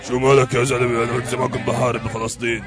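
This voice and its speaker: A man playing a pushy, joking young schemer who speaks fast. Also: medium pitch, flat delivery, over a noisy crowd, close to an everyday voice.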